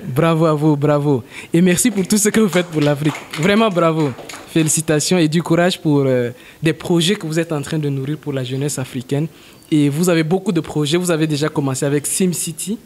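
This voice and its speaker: A male interviewer who praises his guest warmly and asks long, winding questions. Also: on the high side, brisk.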